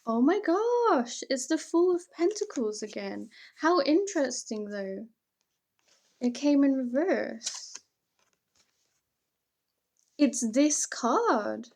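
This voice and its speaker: Female tarot reader, slightly high voice, earnest and reassuring, informal one-to-one delivery with firm emphasis. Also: animated, takes it slowly, pauses often, darkish tone. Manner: informal, conversational phrasing. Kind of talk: intimate, encouraging tarot reading addressed to the listener.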